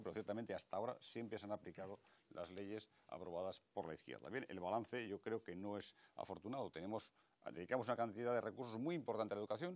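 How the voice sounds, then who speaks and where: Fast, low-voiced man in a TV debate. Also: fairly bright tone. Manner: fast, hesitant with many fillers and restarts. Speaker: political candidate. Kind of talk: televised debate.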